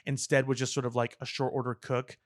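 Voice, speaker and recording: A man co-hosting a podcast, talking pop culture casually in a measured, explanatory way with dry understatement. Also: mid-range voice, flat delivery. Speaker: podcast co-host. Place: podcast studio.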